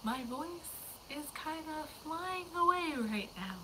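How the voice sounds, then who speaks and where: Young woman, hoarse, losing her voice, high pitch, rambling chatty livestream host, background noise. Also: sing-song, slow. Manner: rambling, chatty, drifting into whispers. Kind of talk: casual livestream chat.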